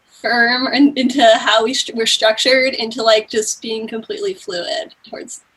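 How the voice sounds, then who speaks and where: A woman's voice: on the high side, steady tone, normal speed, a bit bright, slightly rough. A woman speaking informally and conversationally as a guest in a casual interview. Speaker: podcast guest. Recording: distant from the mic.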